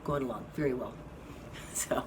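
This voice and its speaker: A hoarse woman giving a slow, halting update to her viewers from a hospital room. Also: extremely low pitch. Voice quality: slow, halting speech with many fillers. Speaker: vlogger giving a health update. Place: hospital rehab room with an air conditioning fan. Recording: noisy room with fan hum. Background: air conditioning fan.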